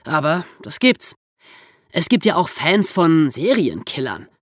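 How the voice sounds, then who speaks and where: A man's voice: on the high side, big pitch swings, a little slow, no echo. A man co-hosting a podcast, explaining history in a conversational storytelling manner. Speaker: podcast host.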